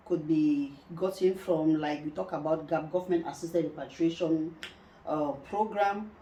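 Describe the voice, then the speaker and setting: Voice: mid-range voice, dark tone. A host from Cameroon asking follow-up questions and affirming the guest in an interview. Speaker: talk show host. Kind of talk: interview conversation. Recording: echoey room.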